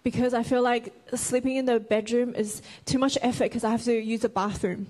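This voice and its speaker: A woman interpreting a sermon line by line, speaking in a careful, halting way and correcting herself as she goes. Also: a little high, steady tone, a little mellow, poor audio.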